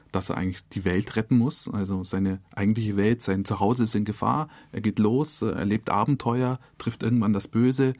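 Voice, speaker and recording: A man with a fairly low voice speaks as an interview guest. He explains in a casual, colloquial way, stacking one clause on the next. The studio sound is very dry. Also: a little mellow, no room sound. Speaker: radio drama director, interview guest.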